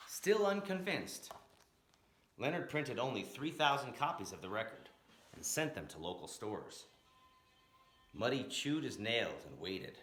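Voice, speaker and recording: A man reading a story aloud in an expressive, dramatic narrating style with emphatic, rhythmic phrasing. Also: mid-range voice, measured pace, long pauses, soft background music. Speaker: storyteller reading a picture book aloud, blues educator. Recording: roomy, echoing room sound.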